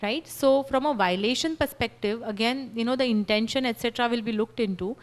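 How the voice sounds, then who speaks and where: A woman on a panel explains legal points in a measured, careful way. Her voice sits a little high, and she keeps checking in with her listeners as she talks. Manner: measured, explanatory, frequent "you know" and "right?". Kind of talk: panel discussion answer.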